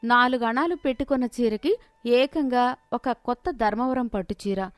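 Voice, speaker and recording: A woman narrates a scripted moral story as its storyteller. Her voice is fairly high. The recording is dry, without room sound. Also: moderate pace, no room sound.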